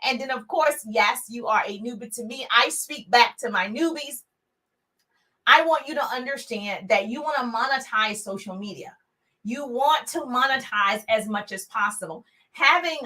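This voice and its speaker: Energetic woman business coach on a live stream, big voice, high and bright, preachy and direct. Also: normal speed. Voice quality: big, full voice. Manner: energetic, preachy, talks straight to viewers with repeated calls to share. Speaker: motivational speaker and business coach.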